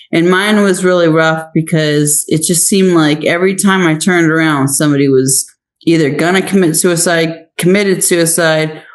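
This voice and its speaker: Chatty woman in a casual interview. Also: low-pitched. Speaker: interview guest, actress and writer.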